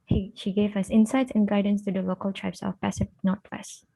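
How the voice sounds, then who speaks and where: A young woman from Indonesia, an architecture student, giving an academic presentation over a video call in a soft, hesitant way with many fillers. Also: mid-range voice, flat delivery, fairly quick, gravelly. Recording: tinny phone-like line.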